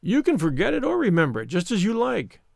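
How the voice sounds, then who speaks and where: A man's voice: high voice, wildly swooping pitch, fast talker, gravelly, no room sound, clean studio sound, voice pushed up, exaggerated voice. A man voicing a smug, cruel villain who taunts and sneers in a dramatized audiobook reading. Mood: cruel, taunting. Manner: taunting, insistent, mock-concerned.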